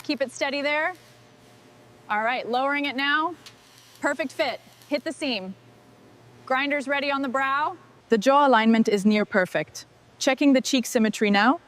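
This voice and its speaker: A woman's voice: high-pitched, normal speed, long pauses. A woman giving short, scripted work instructions as part of a restoration crew. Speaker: workshop crew member directing truck restoration.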